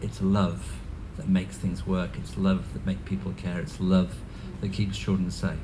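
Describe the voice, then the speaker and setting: A man with a Welsh accent speaks in a fairly low voice, delivering an earnest ceremonial address. He hesitates often, with ums and restarts. Clear background noise from the gathering sits behind him. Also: flat delivery, measured pace. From North Wales. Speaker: guest speaker. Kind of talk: ceremonial speech. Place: community event venue.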